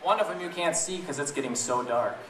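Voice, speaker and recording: A man reading a picture book aloud to a group of children in a playful, chatty way, pausing to ask them questions. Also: a little high, fairly bright tone. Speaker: storybook reader to children. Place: echoey room with an audience of families. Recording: roomy, distant event recording with audience noise.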